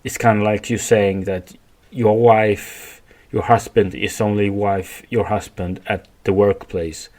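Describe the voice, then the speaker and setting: A man from Sweden with a Swedish accent, speaking English as a second language in a rambling, halting solo podcast monologue. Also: on the low side, level tone, a little mellow. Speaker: podcast host.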